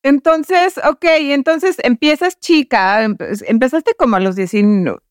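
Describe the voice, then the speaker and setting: A woman's voice: high-pitched, a little slow, dry recording, clean studio sound. A woman hosting a casual interview in an engaged, informal, affirming way. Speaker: podcast host, interviewer.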